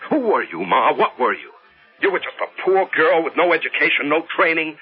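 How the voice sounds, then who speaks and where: An intense American businessman sunk in obsessive grief, insisting and repeating himself. His husky voice swings widely in pitch. The sound is a dull, narrow old radio drama recording. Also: big pitch swings, a little fast. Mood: impassioned, reproachful. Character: obsessive, grieving self-made businessman son. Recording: band-limited old radio recording with music.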